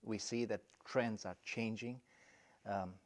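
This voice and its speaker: A middle-aged man from Romania, a college principal answering interview questions in a thoughtful, halting way with many fillers and restarts. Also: fairly low pitch, steady tone.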